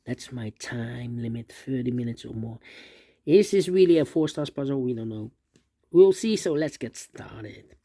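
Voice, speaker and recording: Gravelly-voiced man calmly talking through a puzzle. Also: medium pitch, measured pace. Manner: hesitant, many uh fillers, repeats numbers aloud. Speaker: sudoku instructor. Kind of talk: solving a puzzle step by step while narrating.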